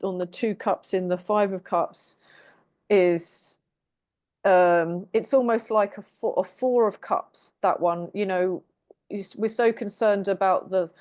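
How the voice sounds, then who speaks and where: A woman's voice: medium pitch, a little mellow, dry recording, studio quality. A woman on a tarot panel over a video call, chatty and reassuring, often repeating phrases as she talks. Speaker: tarot reader co-host. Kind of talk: casual spiritual panel chat.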